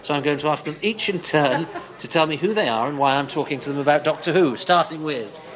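A man's voice: fairly high pitch, a little fast, a bit bright. A man hosting a casual interview in a pub, chatty and enthusiastic. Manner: chatty, enthusiastic, stumbling restarts. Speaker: host.

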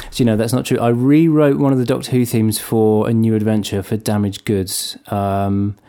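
A man being interviewed as a guest composer, answering thoughtfully with frequent pauses and fillers. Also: on the low side, fairly even intonation, warm tone, clear, resonant tone. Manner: thoughtful, hesitant, many fillers.

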